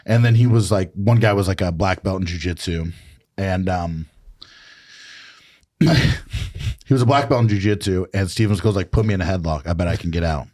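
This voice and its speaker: A man's voice: sits low, moderate pace, pauses often, a little mellow. A man hosting a podcast in casual group chat, with a profane, bantering, slangy way of talking, recorded in a small room. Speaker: podcast host.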